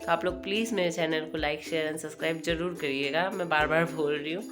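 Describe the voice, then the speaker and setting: A young woman, hoarse from a cold, chatting casually as she narrates her home cooking vlog. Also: low-pitched, fairly even intonation, moderate pace, music in the background. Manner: chatty, homely, meandering. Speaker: cooking vlogger.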